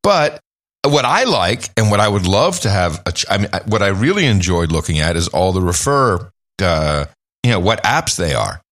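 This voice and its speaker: A man with a low-pitched voice hosts a casual podcast chat, wandering through his thoughts with restarts. His pitch jumps up and down as he gets animated. The sound is close and dry, with no room around it. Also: normal speed, dry recording. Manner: rambling, animated swings in pitch. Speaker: podcast host.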